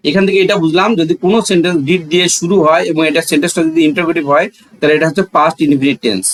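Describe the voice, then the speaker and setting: A man's voice: on the high side, very fast, poor audio. A man with a Bangladeshi accent teaching in an explanatory, didactic way, asking rhetorical questions and repeating key phrases.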